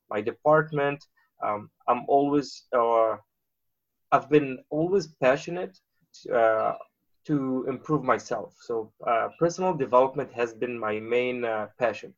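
A man in his thirties, from Lebanon, talking casually as an interview guest and pausing often on filler sounds. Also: mid-range voice, gravelly, lo-fi sound. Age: 30s. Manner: halting, many filler uhs.

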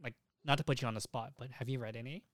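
A man's voice: medium pitch, fairly even intonation, fast talker, fairly bright tone, dry recording. A young adult man hosting a casual podcast, chatting in an enthusiastic, informal way with plenty of swearing. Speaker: podcast host.

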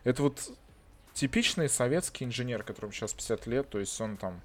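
A man's voice: mid-range voice, fast. Blunt, swearing Russian-born man. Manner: swears a lot, animated and blunt. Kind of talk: casual chat. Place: lives in Israel.

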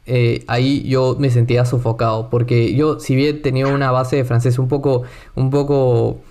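A man hosting a casual chat, telling travel stories in an animated, joking way. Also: medium pitch, normal speed. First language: Spanish. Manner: chatty, animated storyteller with playful asides.

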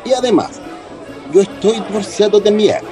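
A man's voice: high voice, lively intonation, normal speed. A man playing an eager, effusive Italian son-in-law in a radio soap opera, with an Italian accent and theatrical, language-mixing speech. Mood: effusive, defensive. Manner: mixes Italian words into Spanish, theatrical. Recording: music and crowd behind.

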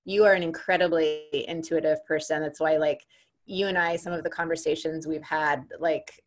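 A woman who works as a coach, hosting an interview in a relaxed, rambling way and filling her talk with "like" and "you know". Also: deep voice, moderate pace. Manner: relaxed, rambling, heavy use of fillers. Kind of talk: casual conversational interview.

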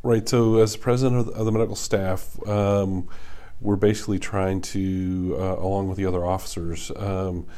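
A middle-aged neurosurgeon from Kentucky, talking casually in an interview with many pauses and fillers. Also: male voice, low-pitched, flat delivery, dark tone. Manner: halting, many ums and uhs. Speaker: neurosurgeon, medical staff president.

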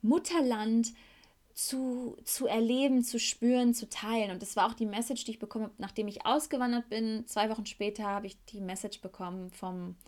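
A woman's voice: on the high side, steady tone, normal speed, a little mellow. A woman in her early thirties from Germany, speaking reflectively in a personal podcast monologue and searching for her words as she goes. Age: 30s. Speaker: podcast host and tantra teacher.

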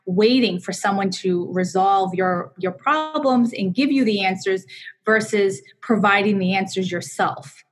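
A woman's voice: mid-range voice, measured pace. A woman with a Boston accent, a divorce lawyer explaining her views as a podcast guest, with frequent "um"s. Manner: explanatory, uses fillers like um. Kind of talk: conversational interview answers.

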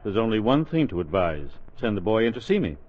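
Formal doctor, muffled old radio. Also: male voice, fairly low pitch, big pitch swings. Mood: formal, reassuring. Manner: formal, measured, professional. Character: calm, dignified male doctor, patient and formal. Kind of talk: 1940s radio comedy acting. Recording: dull 1940s radio broadcast recording.

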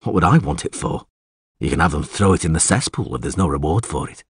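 A man voicing a terse, weary monster hunter with dry wit in an audiobook, speaking in a laconic, deadpan way. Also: rumbling low, quick pace, breathy edge, dry recording, voice pushed down, heavily acted. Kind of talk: audiobook character acting.

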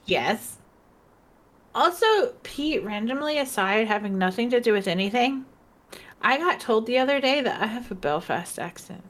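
A woman's voice: on the high side, animated, moderate pace, a bit bright. A woman co-hosting a podcast in casual chat, rambling with lots of fillers and giggles, tipsy and socially drained. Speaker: podcast co-host.

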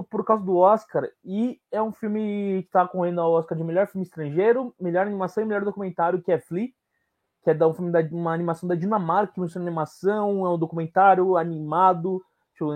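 A man with a Brazilian accent chatting casually on a podcast, informal and somewhat halting as he restarts his phrases. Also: high voice, darkish tone. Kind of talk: casual recommendation chat.

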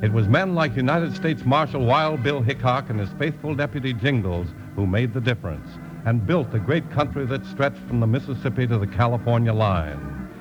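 A man's voice: mid-range voice, lively intonation, moderate pace, few pauses, lo-fi sound. A man in the role of a 1950s radio announcer. He reads scripted narration and sponsor copy with polished drama, in a mid-range voice over music.

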